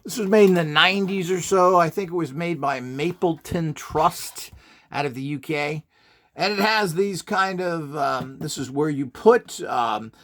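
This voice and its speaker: A man's voice: a little high, fairly bright tone. A middle-aged or older man presenting an unscripted how-to demonstration in an opinionated, boastful, emphatic manner. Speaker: presenter of a how-to video series. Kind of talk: unscripted product demonstration and explanation.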